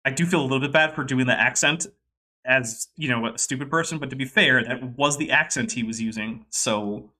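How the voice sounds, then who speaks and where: A man from the Boston area hosting a podcast and explaining things in quick, talkative bursts. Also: medium pitch, bright, forceful tone. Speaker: podcast host. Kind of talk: casual commentary.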